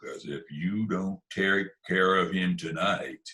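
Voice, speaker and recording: A man's voice: low-pitched, slow pace, pushed and bright, exaggerated voice. A man playing a tough, curt gangster boss in a 1940s-style radio crime comedy, sneering, slangy and commanding. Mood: impatient, bossy. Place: remote video-call performance.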